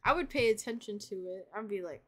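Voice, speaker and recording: A woman's voice: mid-range voice, brisk, fairly bright tone. An American woman chatting casually as a reaction co-host, in a self-deprecating way.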